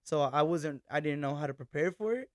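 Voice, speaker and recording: A young man talks on a podcast in an easygoing, storytelling way, swearing now and then and switching into Spanish phrases. His voice sits a little high. The sound is dry and close, with no room behind it. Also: a little fast, no room sound. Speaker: band vocalist and accordion player. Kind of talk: casual podcast chat.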